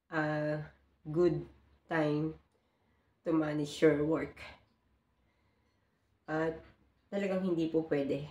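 A woman's voice: low voice, warm tone, echoey room. A woman in her forties, a hotel housekeeper, talking earnestly to her phone camera from a small bedroom and explaining her work step by step. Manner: earnest, explanatory, mixing in English phrases. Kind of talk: casual vlog talk to camera. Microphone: phone camera at close range.

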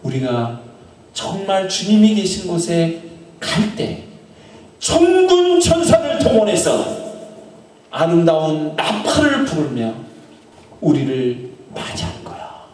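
A middle-aged man with a high voice preaches a storytelling sermon into a handheld microphone. His phrases drift off softly at the ends, broken up by long pauses. The small chapel echoes heavily around him, and he sounds set back from the mic. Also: wildly swooping pitch. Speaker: pastor. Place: small chapel in front of an altar. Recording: very echoey room, from a distance.

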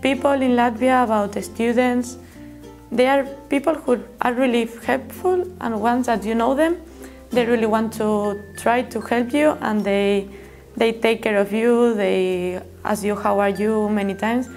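A woman's voice: a little high, unhurried, a little mellow. A woman in her twenties from Spain with a Spanish accent, a music student answering interview questions casually and hesitantly in a classroom. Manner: hesitant, searching for words. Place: classroom with window and plants.

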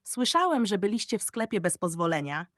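A woman's voice: mid-range voice, sing-song, a little fast, no room sound, lightly acted. A strict, reproving father from a children's storybook, as a woman storyteller plays him. He scolds firmly, in a dry, close recording. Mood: stern.

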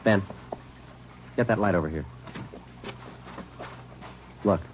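Very deep deadpan police detective. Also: male voice, takes it slowly, a little hiss or hum. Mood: focused. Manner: deadpan, terse. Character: terse, matter-of-fact male police detective narrating his case. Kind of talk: 1940s-50s radio acting, clipped narration. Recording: dull old-time radio recording.

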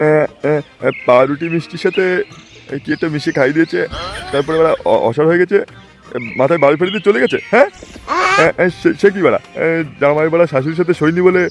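A man's voice: on the high side, natural voice. A man voicing a crude, grumbling father in a comedy cartoon, stammering and exclaiming in disbelief. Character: crude, grumbling householder, father of a grown son.

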